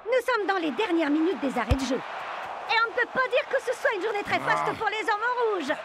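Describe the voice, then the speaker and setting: A woman voicing an excitable sports commentator calling a match in a cartoon dub. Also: extremely high pitch, fairly quick, heavy background noise, exaggerated voice. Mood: excited. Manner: excited play-by-play.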